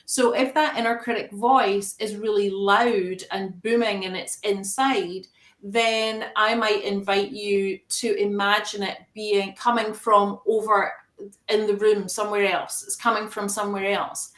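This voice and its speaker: A woman coach talks as an interview guest, calm and measured, reaching for everyday analogies. Her voice is recorded in an echoey room. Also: medium pitch.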